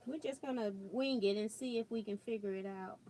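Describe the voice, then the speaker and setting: A woman hosting a live sewing stream, chatting casually and thinking aloud as she works. She has a loud voice and chuckles often. She is recorded on a laptop mic about four feet away in a home sewing room. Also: medium pitch, brisk, slight background noise, poor audio. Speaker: quilting and sewing livestream host. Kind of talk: casual live demo chat. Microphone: built-in laptop mic about four feet away.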